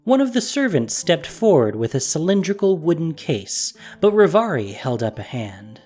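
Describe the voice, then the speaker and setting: A narrator reading a fantasy story in a measured, wry manner. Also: mid-range voice, big pitch swings, a little mellow, soft background music.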